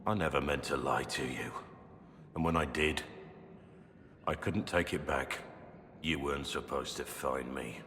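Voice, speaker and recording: A man playing a grave, gruff, guilt-ridden soldier in a video game, confessing haltingly. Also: a bass voice, fairly bright tone, big-room sound, a little hiss or hum. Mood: remorseful, subdued. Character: guilt-ridden bearded male soldier confessing from a prison cell.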